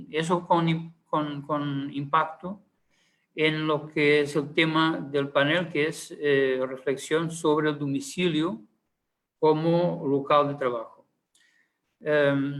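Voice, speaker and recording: A man's voice: a little high, a bit bright. A man speaking Spanish with a Portuguese accent, a panelist giving a conference talk, hesitant with many fillers and restarts.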